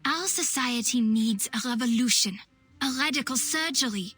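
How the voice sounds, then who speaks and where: An earnest, idealistic young doctor over music. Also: female voice, fairly high pitch, moderate pace, a bit bright, slightly rough. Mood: fervent. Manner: earnest, eloquent, persuasive. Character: idealistic, impassioned young woman doctor and revolutionary. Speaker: game voice actress. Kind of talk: dramatic game voice acting, monologue.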